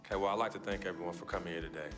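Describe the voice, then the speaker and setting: A young basketball star played by a male actor making an earnest announcement in a game cutscene. His voice is deep and measured, with music clearly playing behind it. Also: steady tone, close to an everyday voice. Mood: earnest, grateful. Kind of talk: press conference speech in a game.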